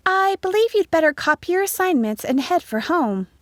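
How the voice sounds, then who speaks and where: Woman acting kindly country schoolteacher, brisk, lilting instructions, high pitch, storybook, dry recording. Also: expressive, no room sound. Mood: kindly, firm. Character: kindly, brisk young female country schoolteacher addressing pupils.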